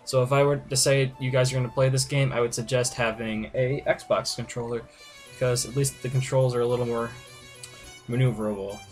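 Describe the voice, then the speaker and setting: A man hosts a video game playthrough in a casual, off-the-cuff way. His voice sits at an average male pitch, and he rambles a little, hesitating as he thinks aloud. Soft music plays behind him. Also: fairly even intonation, brisk. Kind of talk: casual gaming commentary.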